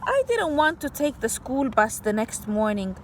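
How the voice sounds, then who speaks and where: A woman's voice: high voice, very animated, normal speed, slight background noise. A woman teacher reading a picture book aloud to young children, patiently explaining things in simple words.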